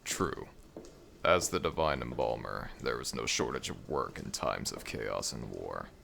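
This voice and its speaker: Man voicing a slow, very deep ancient jackal god. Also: expressive, vocal fry, full character voice. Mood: weary, musing. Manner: world-weary, dry, imperious. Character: ancient male jackal god of embalming, weary, grumbling. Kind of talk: scripted ASMR audio roleplay.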